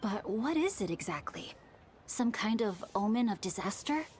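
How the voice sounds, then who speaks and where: A woman voicing a composed, elegant, curious woman in a video game, with measured speech. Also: medium pitch, sing-song, noisy background, lightly acted. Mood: pensive. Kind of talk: video game character acting.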